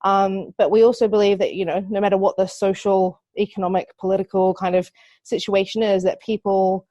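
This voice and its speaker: A woman in her thirties speaks with a New Zealand accent, earnest and thinking aloud, with plenty of ums. Her pitch sits mid-range for a woman. The sound is close and dry, with no room around it. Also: steady tone, fairly quick, dark tone, no room sound. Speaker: guest, digital marketing agency owner. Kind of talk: podcast interview.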